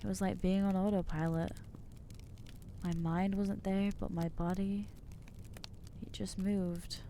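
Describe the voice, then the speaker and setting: A woman voicing an anxious, guilt-ridden new vampire in an ASMR roleplay, halting and self-doubting, with wry exasperation. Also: medium pitch, flat delivery, warm tone, a little hiss or hum, close to an everyday voice. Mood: anxious, guilty. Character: anxious newly turned female vampire, guilty and overwhelmed. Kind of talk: ASMR roleplay acting to the listener.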